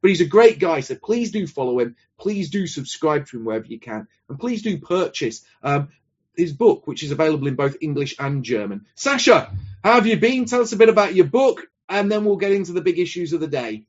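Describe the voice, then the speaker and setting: A man hosting a livestream, appealing earnestly for donations and warmly introducing his guest with emphatic stress. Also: a little high, big pitch swings, fairly quick. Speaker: host of a livestream show. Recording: dull lo-fi stream audio.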